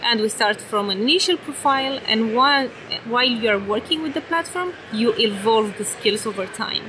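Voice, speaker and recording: A bright, fairly high-pitched woman from Romania speaks English with a Romanian accent, earnestly repeating her phrases. She is interviewed on a trade show floor, with faint hum behind her. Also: animated, normal speed, rough recording. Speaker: company executive at a conference booth.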